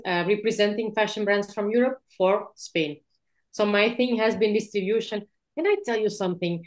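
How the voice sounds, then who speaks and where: Belgian-born woman telling her story. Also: mid-range voice. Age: 40s. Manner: warm, lively storytelling, adds "yeah?". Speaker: guest speaker. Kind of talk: online interview, personal story. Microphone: video call.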